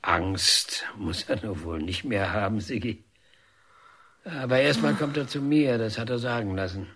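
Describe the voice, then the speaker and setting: Husky, slow, patient painter. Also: male voice, on the low side, a bit bright, exaggerated voice. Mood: patient, reassuring. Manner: measured, gruff, reassuring. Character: calm, patient painter, fatherly friend to a boy. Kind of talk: radio drama acting.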